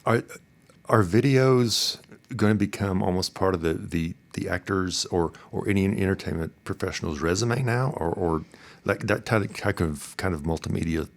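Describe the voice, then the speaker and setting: A man's voice: deep voice, normal speed. A man hosting a casual interview, asking questions in loose, rambling phrases that he rewords as he goes. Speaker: podcast host, interviewer.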